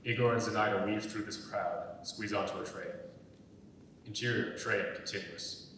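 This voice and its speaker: Low-voiced male narrator in an echoey hall. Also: steady tone, normal speed, a bit bright. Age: young adult. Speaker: stage direction narrator. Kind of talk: scripted narration. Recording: very echoey hall, distant mic, faint rumbling noise.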